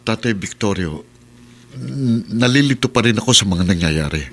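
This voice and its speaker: A man's voice: fairly low pitch, expressive, moderate pace, a bit bright. An actor playing an anguished, devoted lover and father in a radio drama, speaking earnestly and emotionally. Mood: earnest, distressed. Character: anguished man in love, fiercely devoted new-found father. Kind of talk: Tagalog radio drama acting.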